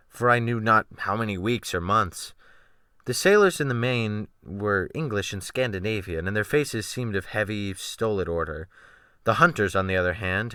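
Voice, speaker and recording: A man's voice: lowish voice, bright, forceful tone, no echo. A man giving a dramatic, theatrical reading of literary narration, with strong swells of emphasis, close to the microphone. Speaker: audiobook narrator. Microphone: close USB microphone.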